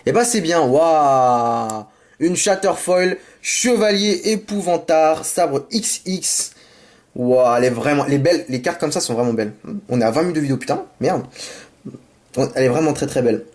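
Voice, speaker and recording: A man with a sore throat from a cold talks his way through opening card packs. He rambles and apologizes, then gets excited about the cards, in a casual, home-made commentary. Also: a little high, moderate pace. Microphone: camera mic.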